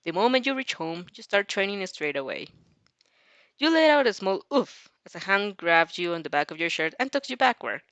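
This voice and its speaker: A woman reading fan fiction aloud as an expressive narrator, with casual reactions of her own mixed in. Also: medium pitch, no room sound.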